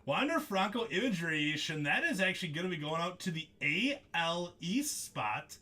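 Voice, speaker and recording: A man's voice: high-pitched, big pitch swings, normal speed, pushed and bright. A young man hosting a live trading-card break stream, chatting casually with viewers by name, laughing often as he reads out the cards he pulls.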